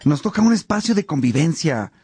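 An actor playing a genial, courteous cleric among friends in a radio drama. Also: male voice, a little high, very animated, fairly quick, darkish tone, slightly rough, dry recording. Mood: genial, inviting. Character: Alipio, genial cleric friend presiding at table.

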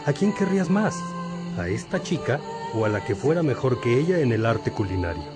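An actor playing a wise, patient priest and teacher in a religious radio drama, gently questioning and instructing. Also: male voice, medium pitch, sing-song, a little fast, close to an everyday voice. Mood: playful, probing. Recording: music behind the voice.

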